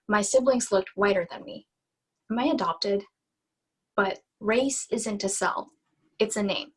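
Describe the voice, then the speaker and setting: A woman's voice: medium pitch, low-quality recording. Earnest young woman, native Tagalog speaker, presenting. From Philippines. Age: young adult. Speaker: student presenter and poet. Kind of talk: prepared presentation and poem recitation. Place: online video call.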